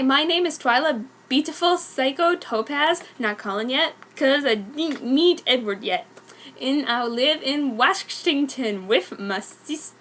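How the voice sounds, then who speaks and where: A high-pitched teenage goth vampire girl , played by a woman, tells her own story. She is vain, defiant and self-important, delivering everything with bratty melodrama in a fan fiction reading. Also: a bit bright.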